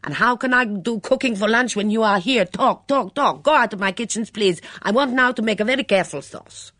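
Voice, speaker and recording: Lady putting on a high loud fiery cook woman, broken english, keeps repeating words, husky edge, dry close sound. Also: very animated, no room sound, exaggerated voice. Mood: contemptuous. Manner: emphatic, repeats words, halting grammar.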